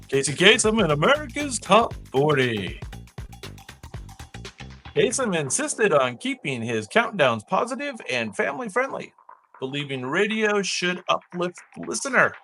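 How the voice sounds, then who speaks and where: Lively, bright-voiced man hosting trivia. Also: sits high, a little slow, pauses often, soft background music. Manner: chatty, rambling asides between read-out facts. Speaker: live trivia show host. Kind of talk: casual live-stream trivia hosting, reading clues and chatting.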